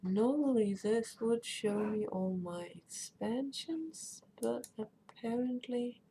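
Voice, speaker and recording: A woman's voice: on the high side, lively intonation, slow, mellow, rough recording. Chatty woman streaming a game. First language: Danish. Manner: chatty, rambling, reads chat aloud. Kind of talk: casual live-stream chat while gaming. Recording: faint background noise.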